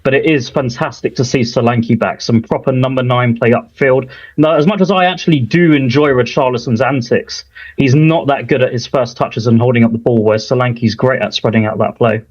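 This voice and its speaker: A man from Thailand, a football fan guest, talking frankly and laughing easily in a lively chat. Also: mid-range voice, a little fast, dull audio. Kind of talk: animated call-in chat.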